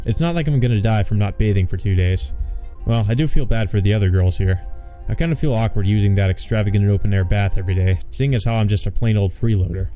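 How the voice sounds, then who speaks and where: A man's voice: lowish voice, mellow. A man reading a visual novel aloud as a let's player, adding wry, offhand comments. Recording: faint music behind the voice.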